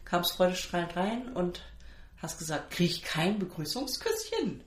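A woman's voice: on the low side, sing-song, measured pace, echoey room. Nasal woman with a head cold. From Germany. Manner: chatty, teasing, often cuts in. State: stuffy nose from a cold. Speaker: podcast co-host. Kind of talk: casual chat.

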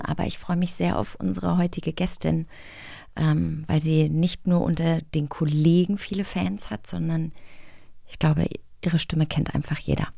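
A woman co-hosting a podcast, chatting in a playful, relaxed way into a studio microphone. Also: low voice, creaky, no echo. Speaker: podcast co-host. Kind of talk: casual chat.

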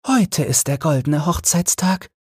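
A man voicing a gentle little girl tree spirit in a bedtime fairy tale, speaking in a slow, lilting way. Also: a little high, expressive, breathy edge, no room sound, clean studio sound, pitched above the performer's own voice. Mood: gentle, tender.

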